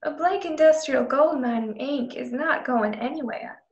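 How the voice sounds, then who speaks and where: A woman's voice: high-pitched, moderate pace, a little mellow, muffled recording. A woman playing a haughty, condescending widow who runs a frontier business, in a radio drama. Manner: condescending, imperious. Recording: roomy, echoing home recording.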